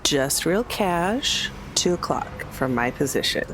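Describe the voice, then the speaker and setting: A woman's voice: low-pitched, expressive, slow, a bit bright, a little hiss or hum. A woman playing a tabletop game, casually describing what her character does and says.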